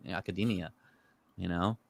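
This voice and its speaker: Hebrew-native man, lowish voice, relaxed rambling talker, dry close recording. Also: normal speed, creaky, dry recording. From Israel. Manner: relaxed, rambling storyteller with frequent fillers. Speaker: guest. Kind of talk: casual chat.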